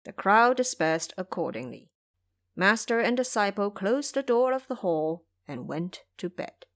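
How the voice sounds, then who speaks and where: A woman's voice: mid-range voice, very animated, unhurried. A woman narrator reading a scripted story in a dramatic storytelling style.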